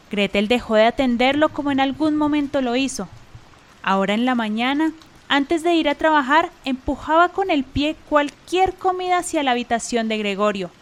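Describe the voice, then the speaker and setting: A woman narrating a radio drama in a scripted storytelling style. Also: high-pitched.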